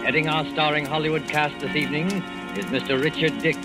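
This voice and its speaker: A man announces a suspense programme in scripted 1940s radio style. His voice is a little high, his phrasing formal, measured and dramatic. Loud music plays behind him on the old broadcast. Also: little pitch movement. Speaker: radio show narrator.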